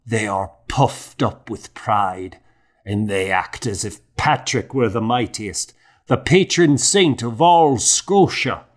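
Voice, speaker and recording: A man's voice: on the low side, animated, takes it slowly, pitched above the performer's own voice. A man voicing a zealous, indignant old monk in an audiobook, fervent and strained as he declaims. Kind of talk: audiobook character acting.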